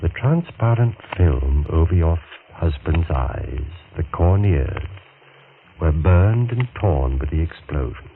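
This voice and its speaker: An actor playing a smooth, vindictive middle-aged doctor in an old radio thriller, speaking in a measured, insinuating, menacing way. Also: male voice, rumbling low, lively intonation, heavily acted. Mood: controlled, professional. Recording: tinny, noisy vintage radio recording.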